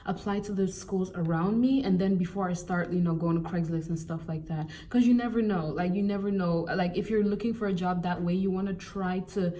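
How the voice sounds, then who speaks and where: A young woman from Cameroon giving casual, animated job-hunting advice in a vlog, with exasperated asides. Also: fairly low pitch, a little fast, hardly any pauses, recorded from a distance. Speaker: vlogger.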